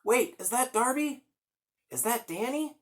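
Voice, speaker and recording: Man acting a high-voiced captive storybook boy. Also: animated, unhurried. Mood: panicked pleading. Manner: exclaiming, high and loud. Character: captive boy crying out to his superhero brother. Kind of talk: storybook character voice.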